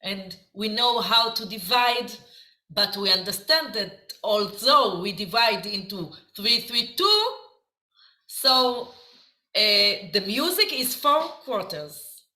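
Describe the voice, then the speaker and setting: A woman with an Israeli accent teaching a dance class, explaining counts slowly and haltingly. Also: mid-range voice, lively intonation, pushed and bright. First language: Hebrew. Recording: echoey room.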